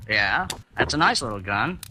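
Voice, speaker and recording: An actor playing a casual, matter-of-fact gun shop clerk in a 1940s radio drama. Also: male voice, mid-range voice, sing-song, moderate pace, bright, forceful tone. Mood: offhand, businesslike. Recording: noisy, hissy old radio broadcast.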